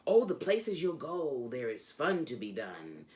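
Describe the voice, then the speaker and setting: A woman reading a children's storybook aloud as host, with theatrical, emphatic delivery and drawn-out phrases. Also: very deep voice, very animated, a little mellow.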